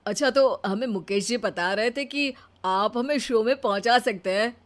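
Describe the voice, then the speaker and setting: A woman's voice: a little high, normal speed, close to an everyday voice. A woman voicing an overconfident, scheming but dim married man with a breezy, self-assured manner in a story. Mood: eager, scheming.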